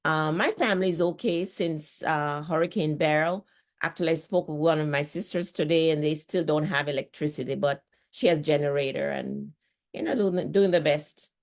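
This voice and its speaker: Jamaican lady, middle-aged, pretty low voice, chatty guest, repeats herself a lot. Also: normal speed. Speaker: nurse and author, guest. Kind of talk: casual conversational talk.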